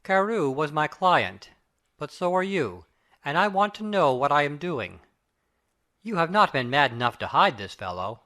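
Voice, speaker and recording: A man playing a grave, probing middle-aged Victorian lawyer in an audiobook drama, with measured, formal speech. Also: on the high side, sing-song, bright, forceful tone. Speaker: audiobook cast reader. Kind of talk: dramatic reading of Victorian fiction.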